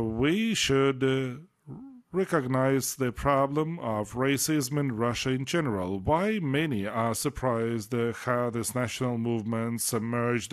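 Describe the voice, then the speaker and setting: Halting male simultaneous interpreter. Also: mid-range voice. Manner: halting, phrase-by-phrase delivery with frequent hesitations. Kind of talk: live interpreting of a panel speech.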